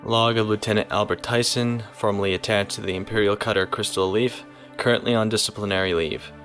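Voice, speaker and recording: An actor playing a composed, principled naval officer in an audio drama. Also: male voice, lowish voice, steady tone, fairly bright tone, faint music behind, close to an everyday voice. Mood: log entry. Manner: measured, composed.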